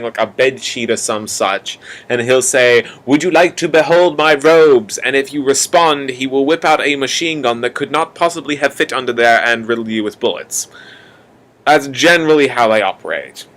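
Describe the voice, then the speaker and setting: A man's voice: medium pitch, fairly bright tone. Young male narrator for an amateur radio drama, theatrical and mock-ominous, slipping into casual asides. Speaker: narrator of a college radio play. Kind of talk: dramatic scripted narration addressed to the listener.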